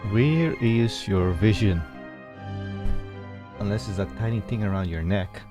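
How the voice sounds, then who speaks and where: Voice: low-pitched, a little slow, music in the background. A video game streamer giving casual, grumbling playthrough commentary in an informal, muttering way.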